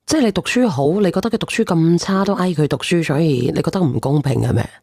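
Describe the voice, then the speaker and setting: Low, mellow-voiced woman host. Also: fast, gravelly, no room sound, clean studio sound. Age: 40s. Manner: addresses listeners with questions. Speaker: call-in show host. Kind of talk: casual livestream advice chat.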